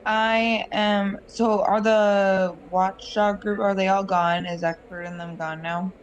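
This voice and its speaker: A woman playing a tabletop game, halting and unhurried. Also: mid-range voice, flat delivery, muffled recording, a little hiss or hum. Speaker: player. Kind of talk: casual tabletop play.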